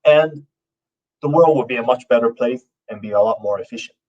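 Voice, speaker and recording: Halting male conference speaker, distant mic. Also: mid-range voice, darkish tone. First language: not Norwegian. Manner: loose, halting delivery with many fillers and restarts. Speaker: conference speaker, company founder. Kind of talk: conference presentation. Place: conference stage. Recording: dull, muffled sound from a distant mic.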